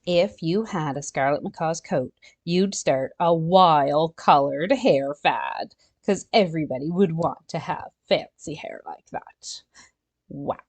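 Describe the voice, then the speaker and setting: A woman's voice: sits low, slow. A woman reading a children's nonfiction picture book aloud, expressively, with chatty asides and exclamations to her young listeners. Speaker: children's storytime reader.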